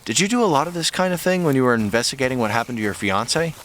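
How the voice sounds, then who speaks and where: A man's voice: medium pitch, animated, racing, a bit bright, slight background noise, lightly acted. An actor playing a nervous, skittish, chatty fashion-savvy man tagging along as a sidekick in an audio drama.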